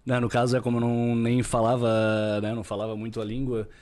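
A Brazilian man talking casually as a podcast guest, unhurried and sometimes searching for his words. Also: mid-range voice, flat delivery, a little mellow. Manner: unhurried, searches for words, frequent tag questions. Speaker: podcast guest, real estate broker. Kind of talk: casual interview chat.

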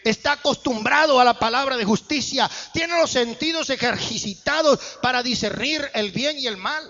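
Male preacher, emphatic, sharp tone, long hall reverb. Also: very high-pitched, normal speed. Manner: emphatic preaching with rising bursts. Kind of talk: sermon. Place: church hall. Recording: muffled church hall echo.

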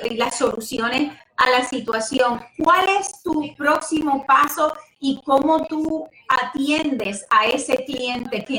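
A woman with a Puerto Rican accent hosts a casual advice talk in a high-pitched voice. She is chatty and familiar in her phrasing. The sound is echoey, picked up from some distance from the microphone. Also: a bit bright. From Puerto Rico. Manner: chatty, familiar, uses affectionate diminutives and some English words. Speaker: host and home loan advisor. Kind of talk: casual advice talk to a live audience online. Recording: roomy echo, distant microphone.

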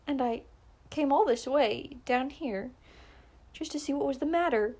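A woman's voice: high-pitched, a little slow, warm tone, pitched above the performer's own voice. A woman voicing a determined, persistent young woman who talks in an earnest, coaxing way.

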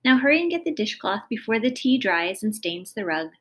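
A woman's voice: fairly high pitch, big pitch swings, fairly quick. A woman voicing a long-suffering, exasperated mother in a story, chiding in a sighing manner. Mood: patient chiding.

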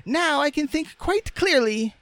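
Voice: high voice, expressive, measured pace, dry recording, voice pushed up, full character voice. 音调极高、活泼热切的小布娃娃安. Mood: relieved, thinking. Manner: bright, eager, exclamatory.

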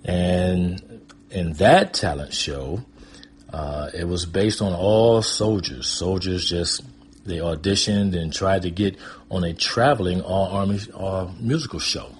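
An American man with a very low-pitched voice shares personal memories as a guest storyteller. He talks informally and wanders from one thought to the next. There is noticeable background noise on the recording. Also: slow pace. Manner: rambling, conversational. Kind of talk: casual autobiographical storytelling.

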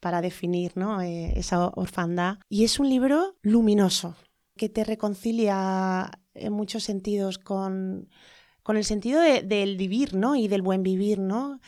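A woman's voice: medium pitch, unhurried, a little mellow, no echo, studio quality. Hesitant, thoughtful Spanish woman guest. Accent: Spain Spanish. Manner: hesitant, many fillers and tag questions. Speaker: interview guest, lawyer. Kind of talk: reflective conversational interview.

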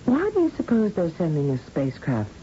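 A woman's voice: fairly low pitch, huge pitch swings, fairly quick, mellow. An actress playing a curious, thoughtful woman scientist in an old-time radio science fiction drama. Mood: wondering. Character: curious, thoughtful female scientist, ageless space explorer. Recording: dull, noisy old radio recording.

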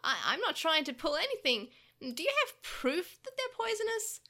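A woman's voice: extremely high pitch, big pitch swings, moderate pace, bright, forceful tone, pitched above the performer's own voice, full character voice. A woman voicing a sly little devil imp. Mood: flustered. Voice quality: sly, sneering, then openly gloating. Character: small scheming male devil creature, sly liar.

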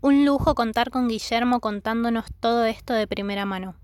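A woman's voice: a little high, brisk, no echo. Woman podcast host, close dry sound. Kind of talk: scripted podcast presenting.

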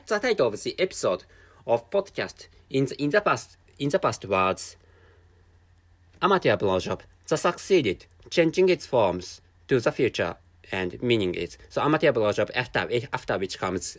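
A man with a Japanese accent, a native Japanese speaker, talking alone on his own podcast and repeating words as he searches for them. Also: mid-range voice. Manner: halting, many restarts and repeated words. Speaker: podcast host. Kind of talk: casual solo podcast monologue. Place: at home, drinking a canned drink while recording.

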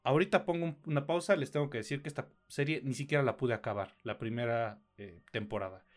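A man with a Mexican accent talks as a podcast co-host. His delivery is casual and conversational, with a blunt way of putting things and crude slang. His voice sits at an average pitch for a man. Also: quick pace. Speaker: podcast co-host reviewing films and series. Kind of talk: casual review chat.

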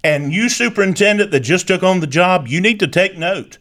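A man's voice: sits high, lively intonation, fairly quick, a bit bright. A man delivering impassioned political commentary, indignant and scolding, hammering home repeated phrases. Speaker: talk show commentator.

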